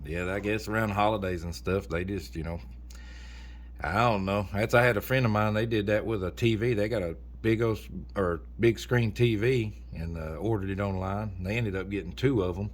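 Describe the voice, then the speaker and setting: A man's voice: lowish voice, normal speed. A man chatting casually as an amateur radio operator, in a folksy, rambling way. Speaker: amateur radio operator recording for a video channel. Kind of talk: casual chat over ham radio.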